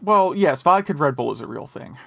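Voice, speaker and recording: A man's voice: on the high side, lively intonation, brisk, vocal fry, fairly bright tone, dry recording. An American man co-hosting a podcast in casual chat, wry and opinionated. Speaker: podcast co-host.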